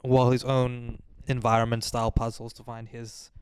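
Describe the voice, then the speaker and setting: A man co-hosting a gaming podcast, chiming in with quick corrections and jokes. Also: mid-range voice, clear, resonant tone. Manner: relaxed, chimes in with short corrections and jokes. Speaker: podcast co-host. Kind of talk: casual gaming podcast chat.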